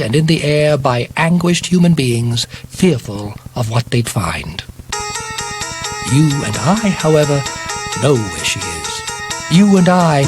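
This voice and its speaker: A man narrates a dramatic story with a solemn, deliberate delivery. His voice sits a little high for a man and moves slowly, with loud music playing underneath. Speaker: audiobook reader.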